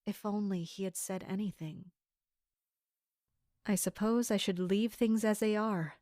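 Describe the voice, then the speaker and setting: A woman's voice: medium pitch, fairly even intonation, measured pace, a little mellow, dry recording, studio quality, natural voice. A woman playing a polite, observant royal maid in an audio drama, speaking articulately. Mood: measured, guarded. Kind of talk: audio drama acting with inner-monologue narration.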